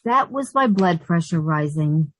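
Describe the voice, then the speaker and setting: A woman's voice: fairly low pitch, expressive, unhurried, very noisy. An actress playing a wisecracking, exasperated but fond working woman in an old-time radio sitcom style, with wry delivery. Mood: wry, wistful. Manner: wry, sardonic quips.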